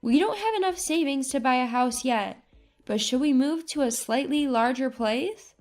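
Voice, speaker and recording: High-voiced caring daughter, read by a woman narrator. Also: lively intonation, normal speed, a little mellow, faint music behind. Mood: earnest. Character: earnest, caring grown daughter in a family drama.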